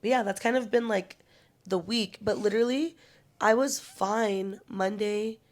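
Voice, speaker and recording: A woman's voice: medium pitch, unhurried, darkish tone. A young woman co-hosting a casual chat podcast, congested and partly voiceless, telling stories loosely with plenty of swearing. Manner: loose, profane, storytelling. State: congested, lost voice. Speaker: podcast co-host.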